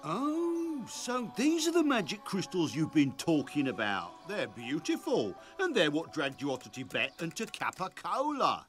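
A man's voice: fairly high pitch, huge pitch swings, unhurried, hardly any pauses, faint music behind. A man playing a good-hearted middle-aged English father in a cartoon, with a working-class English accent and a flustered, colloquial manner. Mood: friendly. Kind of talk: animated cartoon acting.

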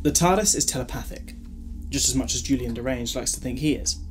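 A man playing a flippant, protective alien time traveller in a fan audio drama, with a husky voice and wry, bantering delivery. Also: medium pitch, a little fast, over music, natural voice. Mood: explanatory.

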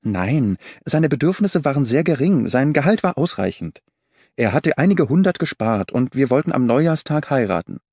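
A man's voice: medium pitch, sing-song, normal speed, darkish tone, no room sound. A man reading the part of a grieving young fiancée in an audiobook, pleading and insistent, in a dry recording. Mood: quiet.

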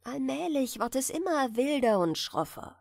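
A woman gently narrating a bedtime fairy tale in a soft, soothing manner. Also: high-pitched, big pitch swings, normal speed, creaky, dry recording, studio quality. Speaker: audiobook reader.

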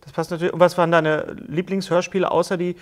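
A middle-aged man hosting a casual talk interview, haltingly repeating words as he builds his questions. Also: high-pitched, flat delivery. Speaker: talk show host, interviewer.

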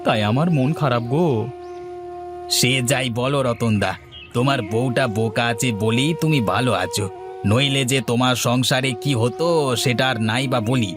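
A man's voice: mid-range voice, moderate pace, close to an everyday voice. Man acting a folksy, sheepish village husband over music. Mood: gloomy, complaining. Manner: folksy, exclaiming, stammering, sheepish. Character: henpecking but soft-hearted village husband, food-loving, sheepish. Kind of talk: cartoon voice acting.

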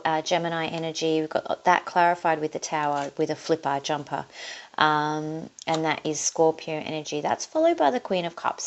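A woman's voice: deep voice, muffled recording. A woman with an Australian accent giving a casual tarot reading, thinking aloud as she draws cards. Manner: chatty, thinking aloud while drawing cards. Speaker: tarot reader. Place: indoors at a table, overhead camera on her hands.

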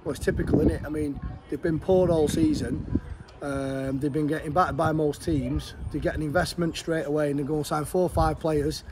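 Frank football manager, higher voice. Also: male voice, fairly even intonation. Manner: frank, chatty, fast-flowing. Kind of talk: post-match interview. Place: outdoors beside a football pitch. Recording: outdoor wind and traffic noise.

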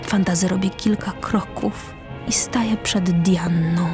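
Slow, measured woman narrating a radio drama. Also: fairly low pitch, steady tone. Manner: measured short phrases. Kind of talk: scripted narration of a radio drama, reading stage directions. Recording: music behind the voice.

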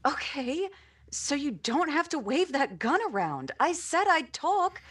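A woman playing a nervous woman informant in an old-time radio drama recreation, with a breathy, shrill edge. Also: very animated, a little slow, a bit bright, exaggerated voice. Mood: frightened. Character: nervous young woman informant, wary then frightened. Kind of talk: 1950s-style radio drama acting.

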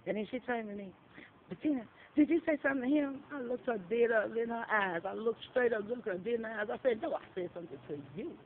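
A high-voiced woman tells a story in a blunt, profane way and acts out every line of dialogue. Her voice comes through a tinny, phone-like recording with a faint hiss behind it, taken outdoors on a patio. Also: fast. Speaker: shelter resident. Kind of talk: casual storytelling.

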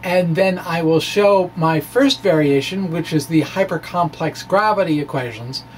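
A middle-aged man reads a science explainer aloud at an unhurried pace. His phrasing is deliberate and a little theatrical. He speaks into a microphone indoors, with noticeable background noise. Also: a little high. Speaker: science blogger and presenter. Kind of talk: dramatic reading of a physics blog, explanatory. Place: small indoor room with bookshelves. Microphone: microphone on a stand in front of him. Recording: clear steady background noise.

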